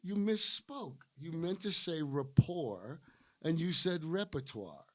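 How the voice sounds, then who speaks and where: A man's voice: a little high, lively intonation, slow pace, a bit bright. A male stand-up comedian from Rhode Island, a guest on a podcast, teasing his host and correcting his words. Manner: pedantic, teasing. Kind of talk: casual podcast banter. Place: studio.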